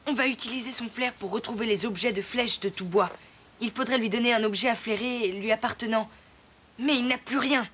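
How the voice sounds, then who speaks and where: A woman's voice: fairly high pitch, fairly quick, a bit bright, a little hiss or hum, close to an everyday voice. A woman voicing a kind, thoughtful little boy in a French cartoon dub. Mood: determined.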